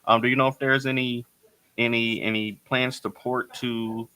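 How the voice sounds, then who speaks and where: A man co-hosting a casual podcast, speaking in a halting, supportive way as he searches for words. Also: mid-range voice, little pitch movement, sharp tone, clear, resonant tone, no echo, rough recording. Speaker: podcast co-host.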